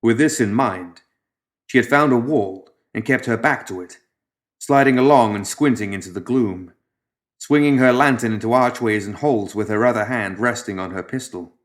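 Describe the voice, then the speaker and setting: A man reading scripted fiction as an audiobook narrator, in a measured, dramatic storytelling manner that drops softer at the ends of phrases. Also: mid-range voice.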